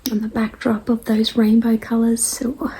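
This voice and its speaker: A woman with a dry, croaky voice, getting over a cold and clearing her throat, chats casually to followers as host of a weekly show in an unhurried, hesitant, rambling way. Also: on the high side, flat delivery, dark tone. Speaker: artist hosting a weekly message show. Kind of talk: casual vlog chat to followers.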